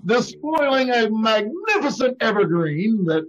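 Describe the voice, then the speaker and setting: Man playing high, slow, melodramatic neighbor. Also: sing-song, a bit bright, heavily acted. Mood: theatrical indignation. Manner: theatrical, declaiming. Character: melodramatic, orating neighbor, indignant and florid. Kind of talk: old-time radio comedy acting. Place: indoors on a live Zoom call. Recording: dull, lo-fi video call.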